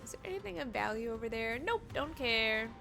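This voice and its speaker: A woman's voice: a little high, expressive, brisk, vocal fry, music in the background. A woman doing playful, reactive live game commentary and reading on-screen text aloud as she plays. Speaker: streamer, game player.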